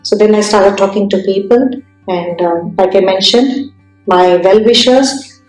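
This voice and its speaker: A woman from India with an Indian accent, telling her career story in an interview, speaking thoughtfully with many pauses and restarts. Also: medium pitch, darkish tone, roomy sound, poor audio. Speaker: entrepreneur guest.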